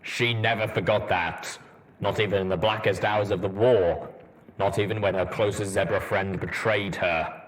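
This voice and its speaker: A man as a low, rumbling old soldier ghoul. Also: fairly even intonation, normal speed, lightly acted, low-quality recording. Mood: solemn, reflective. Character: stern old armored ghoul soldier pony, male, grave.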